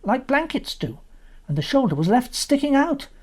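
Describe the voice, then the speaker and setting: A woman's voice: high-pitched, sing-song, moderate pace, darkish tone, voice pushed up. A woman voicing a teasing, know-it-all older boy in a storybook reading. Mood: explaining.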